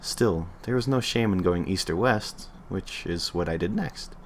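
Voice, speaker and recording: A man's voice: low-pitched, measured pace, a little hiss or hum. A man reading a creepy internet story aloud on a livestream in an offhand way, stumbling and correcting himself as he goes.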